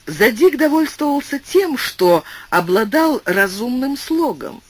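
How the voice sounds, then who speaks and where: A man narrating a literary audiobook with expressive, storytelling delivery. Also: a little slow, breathy edge. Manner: expressive storytelling with wide swings of pitch.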